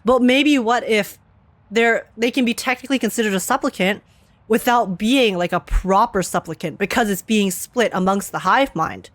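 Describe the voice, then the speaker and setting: A young woman streaming a game, reacting excitedly and rambling through theories out loud. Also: mid-range voice, normal speed, no echo. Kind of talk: casual reaction commentary. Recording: faint game music behind. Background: game music.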